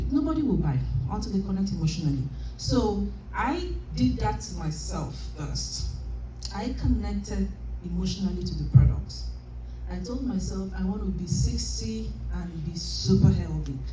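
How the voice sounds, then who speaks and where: A woman's voice: medium pitch, recorded from a distance, slight background noise. Emphatic woman trainer in an echoey hall. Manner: animated, emphatic, laughs often. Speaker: business trainer. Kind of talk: motivational sales training talk. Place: large convention hall.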